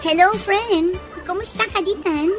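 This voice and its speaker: An actress playing a friendly, eager young nurse looking for work in a radio drama. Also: female voice, very high voice, measured pace, loud music behind the voice. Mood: excited. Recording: noisy, with ambient sound.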